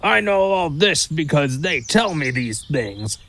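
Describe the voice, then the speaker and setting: A man's voice: fairly high pitch, sing-song, a little slow, fairly bright tone, a little hiss or hum, full character voice. A man voicing a sly, mean, gossiping squirrel in an audio drama, flippant and boastful as he tells his tales. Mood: breezy, gossipy. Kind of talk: audio drama character acting.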